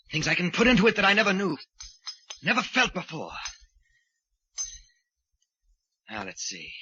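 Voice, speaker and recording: Crazed killer sculptor, brooding theatrical old radio style, sharp intense voice swinging high and low. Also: male voice, slightly rough, no room sound, full character voice. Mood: brooding satisfaction. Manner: theatrical, brooding soliloquies, feigned politeness to others. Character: deranged male sculptor murderer obsessed with playing Death. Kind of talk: 1940s radio acting. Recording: muffled 1940s radio recording.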